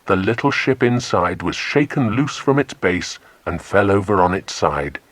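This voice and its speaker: A man's voice: low voice, lively intonation, moderate pace, a bit bright, no room sound. A man narrating a children's adventure story from a script as an audiobook.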